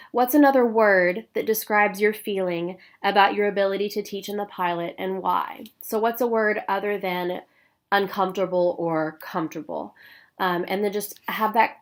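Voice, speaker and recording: A woman with a stuffy nose from a cold, giving a recorded professional development talk for teachers with clear, paced explanation and frequent "um"s. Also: mid-range voice. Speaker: instructional coach.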